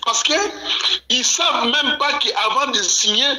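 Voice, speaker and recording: A man's voice: very high-pitched, a little slow, roomy sound, background noise. A man from Congo in heated political talk, repeating phrases and ending points with "hein?". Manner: repeats himself, punctuates with "hein?". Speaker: guest commentator.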